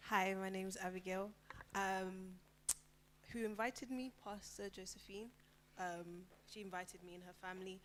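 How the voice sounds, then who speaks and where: A woman's voice: medium pitch, fairly even intonation, a little slow. A woman of Rwandan and Nigerian origin shyly introducing herself at a church gathering. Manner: shy, hesitant.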